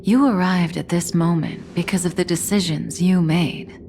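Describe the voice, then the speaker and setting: A woman playing a composed, enigmatic adult woman in a video game, tender yet commanding, with measured, solemn delivery that is hushed at times. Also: lowish voice, fairly even intonation, slight background noise, close to an everyday voice. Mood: solemn, knowing.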